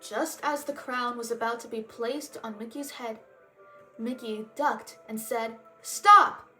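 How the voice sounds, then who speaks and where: Slow, higher-voiced woman reading a storybook. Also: fairly even intonation, fairly bright tone, soft background music, low-quality recording. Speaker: storybook reader and channel host. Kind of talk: storybook read-aloud narration.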